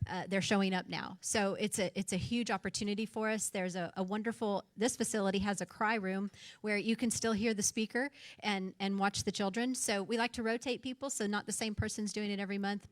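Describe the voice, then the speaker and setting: A woman's voice: mid-range voice, no echo. A woman giving casual, chatty announcements to a meeting crowd as organizer. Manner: chatty, informal, with fillers.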